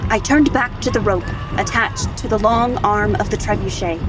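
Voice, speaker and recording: A woman's voice: medium pitch, moderate pace, over music, close to an everyday voice. A woman playing a young knight who narrates a battle in the first person for a fantasy audio drama. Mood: focused, recounting.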